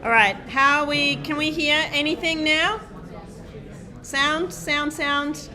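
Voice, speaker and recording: Bright, high-voiced woman lecturing. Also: fairly even intonation, takes it slowly, faint music behind. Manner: loud, projecting voice, chatty and self-deprecating, many "um"s. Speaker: university lecturer. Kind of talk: live lecture to a large class. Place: large lecture hall. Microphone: lecture microphone, sometimes off-mic while walking.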